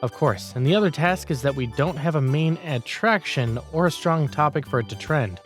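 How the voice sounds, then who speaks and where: Man voicing a lively, blunt senior office worker. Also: a little high, normal speed, soft background music, lightly acted. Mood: blunt, explaining. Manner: matter-of-fact, grumbling, critical. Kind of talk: manga dialogue voice acting.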